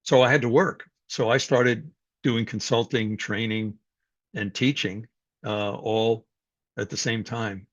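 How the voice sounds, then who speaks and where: An older man from New York City, speaking as a guest and telling his life story in a reflective, unhurried way with frequent fillers. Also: lowish voice, steady tone, no echo. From New York City, USA. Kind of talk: personal life story, conversational talk. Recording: dull, dry sound.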